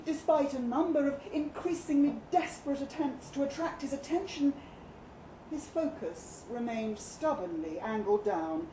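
A woman reading a short story aloud at a live event, with expressive, deliberate and theatrical narration. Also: fairly high pitch. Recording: echoey live room, background noise.

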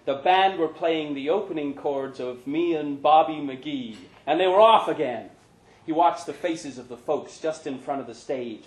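Echoey, higher-voiced man reading a story. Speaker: story reader. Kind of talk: dramatic short story narration to a live audience. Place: indoor pub event. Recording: roomy live pub reading.

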